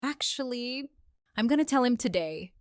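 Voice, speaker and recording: A woman voicing a brash, sharp-tongued mother in a comic dub, blunt and sardonic. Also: fairly high pitch, lively intonation, moderate pace, very noisy, lightly acted. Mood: nervous.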